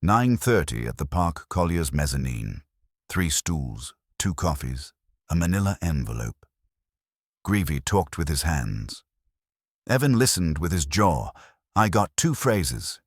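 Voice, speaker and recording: A man's voice: a bass voice, big pitch swings, a little slow, dry recording, studio quality, full character voice. A man voicing a terse, wry male private investigator reporting in clipped, telegraphic phrases.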